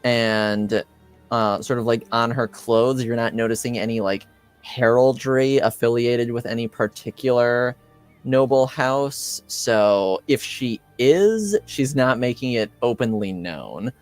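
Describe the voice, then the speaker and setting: A man runs a tabletop roleplaying game, improvising narration in a mid-pitched voice. He speaks slowly and deliberately, pausing often between short phrases. Light music sits in the background. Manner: deliberate, halting, many pauses. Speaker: game master.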